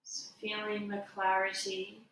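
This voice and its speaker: A woman giving guided meditation instruction as a yoga teacher, in a gentle, unhurried, soothing way. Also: medium pitch, monotone. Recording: echoey room, distant mic, faint noise.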